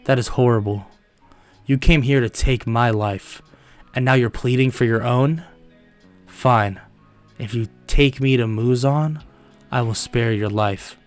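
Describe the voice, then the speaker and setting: A resolute young demon slayer, played by a male narrator, protective and vengeful. The pitch is middling, in an anime fan story with music underneath. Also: a little slow, long pauses, lightly acted. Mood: stern.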